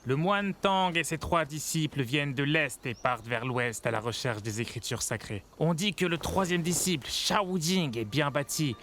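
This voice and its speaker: An actor playing an admiring street storyteller in an animated film, declaiming legends. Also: male voice, fairly high pitch, moderate pace, few pauses, a little hiss or hum. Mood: grand, admiring. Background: music.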